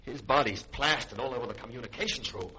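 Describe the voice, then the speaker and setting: A man's voice: mid-range voice, fast talker, bright, forceful tone, close to an everyday voice. An actor playing a tense spaceship officer reporting to his commander in a 1950s radio drama, urgent and clipped. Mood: urgent, shaken. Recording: noisy old radio recording.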